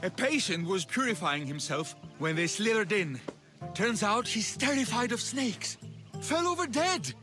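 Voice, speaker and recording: A woman playing a wry, expressive healer of an ancient sanctuary in a video game cutscene, speaking deliberately. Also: medium pitch, music in the background. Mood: matter-of-fact.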